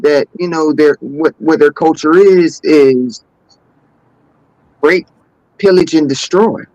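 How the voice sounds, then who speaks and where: An American man with a slightly high voice speaks as a panelist in a casual discussion. He is deliberate and insistent, restating phrases for weight. The recording is dry and free of room sound. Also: darkish tone, no echo, muffled recording. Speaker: panelist on a talk show. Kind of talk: casual opinionated group discussion.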